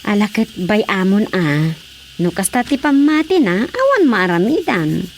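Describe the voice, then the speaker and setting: A woman acting an excitable village woman in a comedy radio drama, emphatic and plaintive, with an Ilocano accent. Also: mid-range voice, measured pace, slight background noise. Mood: questioning.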